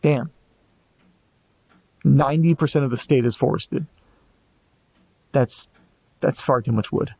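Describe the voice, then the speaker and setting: A man playing an anxious amateur investigator in a horror audio drama, muttering hesitantly to himself in a gravelly voice. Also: mid-range voice, takes it slowly, long pauses, darkish tone, no echo, exaggerated voice. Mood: puzzled, musing. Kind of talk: audio drama monologue, reading and musing aloud.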